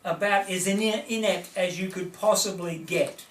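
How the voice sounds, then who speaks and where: A man with a high voice tells stories in a loose, joking way, chuckling often and dropping dry one-liners. He is set back from the mic, and the room gives off a strong echo. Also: steady tone, unhurried, fairly bright tone, slight background noise. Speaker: co-host. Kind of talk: casual comedic storytelling. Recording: echoey room, heard from a distance.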